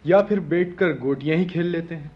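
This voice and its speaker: A man's voice: on the high side, moderate pace, breathy edge. A man playing an easygoing, cautious palace watchman in a radio play, chatting colloquially. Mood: casual griping. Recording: dull lo-fi sound, faint music.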